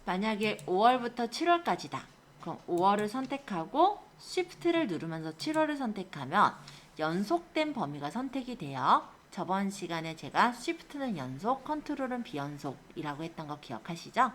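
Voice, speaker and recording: A woman instructor giving an informal step-by-step software tutorial, explaining things and often checking that learners follow. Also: mid-range voice, big pitch swings, normal speed. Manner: explanatory, often checks understanding with tag questions. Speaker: computer skills instructor.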